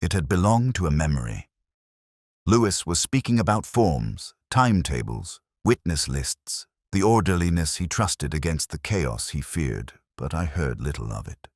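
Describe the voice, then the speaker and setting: A man narrating a scripted first-person detective story in a solemn, measured, literary manner. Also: extremely low pitch, lively intonation, dry recording, clean studio sound.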